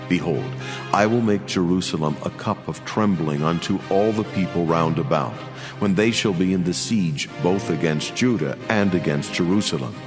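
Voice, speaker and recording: Deep solemn man in long reverb. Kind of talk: dramatic scripture narration. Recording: long reverb, music bed behind.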